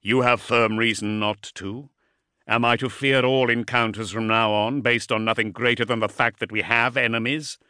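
Man acting a commanding, forceful warlord. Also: medium pitch, fairly even intonation, normal speed, clean tone, no echo, studio quality, lightly acted. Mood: grave. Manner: authoritative, formal. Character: towering male warlord, grave, commanding, measured.

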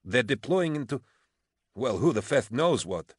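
A man's voice: on the low side, animated, measured pace, no echo, clean studio sound, voice pushed up. A man voicing an exhausted, foul-mouthed female military officer for an audiobook, blunt and pugnacious, with a sardonic edge. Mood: weary, snappish. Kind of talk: audiobook character acting.

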